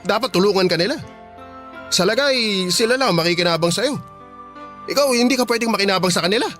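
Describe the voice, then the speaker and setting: A man's voice: sits high, animated, fairly quick, a bit bright, soft background music. A man playing a blunt, persuasive friend in a radio drama, arguing colloquially in a Filipino accent. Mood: exasperated, insistent. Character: blunt, insistent male friend urging practical sense.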